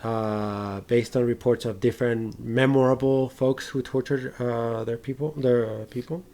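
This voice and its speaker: Male podcast host, creaky gravelly voice, mid pitch, halting casual film chat, informal and sweary. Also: fairly even intonation, warm tone.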